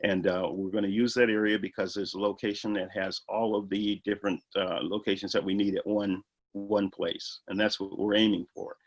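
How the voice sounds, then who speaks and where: A man with a fairly low voice talks about his film project in an interview. He explains eagerly and earnestly, often stopping for "you know" and "uh". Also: little pitch movement, normal speed. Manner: earnest, enthusiastic explaining with many fillers. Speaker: filmmaker guest. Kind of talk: interview answers.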